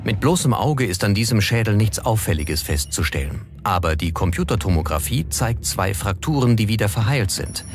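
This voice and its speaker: A man's voice: fairly low pitch, lively intonation, moderate pace, hardly any pauses. A man reading scripted documentary narration. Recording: music bed under the voice. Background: background music.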